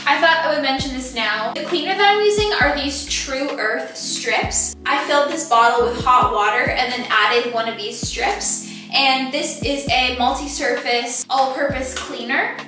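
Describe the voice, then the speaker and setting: Young woman vlogging at home, high voice, upbeat and chatty, roomy echo, music underneath. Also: unhurried. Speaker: lifestyle vlogger. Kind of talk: casual cleaning vlog narration. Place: rooms of a house, indoors. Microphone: camera on a tripod at a distance. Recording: echoey room with music behind.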